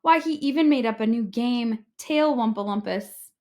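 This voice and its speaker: A woman reading a children's story aloud as an expressive narrator. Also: fairly high pitch, normal speed.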